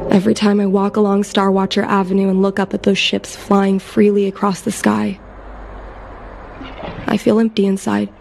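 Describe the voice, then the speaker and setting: A woman's voice: medium pitch, monotone, moderate pace, vocal fry, music in the background, natural voice. An actress voicing a spirited, headstrong young woman who longs to fly, in a chatty, eager video game style. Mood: wistful. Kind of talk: video game character voice acting.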